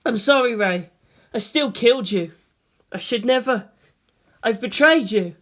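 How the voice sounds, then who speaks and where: A narrator voicing a guilt-racked fourteen-year-old boy, halting and remorseful, in a dramatic story reading. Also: medium pitch, animated, a bit bright, voice pushed up. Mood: guilt-stricken. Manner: halting, numb mumbling.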